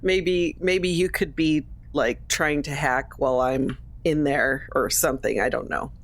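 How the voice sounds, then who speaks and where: A woman's voice: sits low, a little slow. A woman talking casually as a player in a tabletop role-playing game. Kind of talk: casual chat and in-character role-play.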